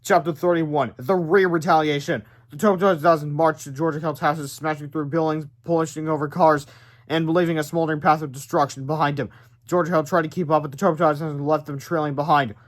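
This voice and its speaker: Higher-pitched man reading a children's story aloud. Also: fairly even intonation, fast talker. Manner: dramatic storytelling, stumbles over words. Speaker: read-aloud narrator. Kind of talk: animated children's book read-aloud with asides.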